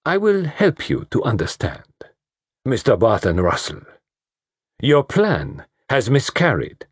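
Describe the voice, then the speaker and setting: A man voicing a fussy, commanding detective, pompous and precise, in a slightly husky voice. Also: mid-range voice, wildly swooping pitch, takes it slowly, dry recording, studio quality, pitched above the performer's own voice, exaggerated voice. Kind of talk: audiobook reading.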